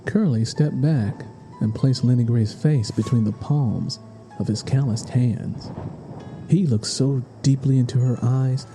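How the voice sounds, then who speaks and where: A man narrating a dramatic novel in a measured, solemn storytelling manner. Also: medium pitch, over music, poor audio. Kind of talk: scripted dramatic audiobook narration.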